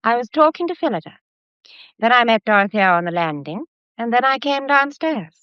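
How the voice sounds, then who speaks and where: A dry, slightly muffled recording of a radio mystery. a young lady with a fairly high, expressive voice, composed and loyal, direct and earnest in manner. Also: brisk, no echo. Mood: guarded. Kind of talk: radio drama acting.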